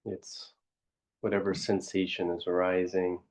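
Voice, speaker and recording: Low, even-toned male meditation guide. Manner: unhurried, reflective, questioning, spoken in short phrases. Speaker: meditation teacher.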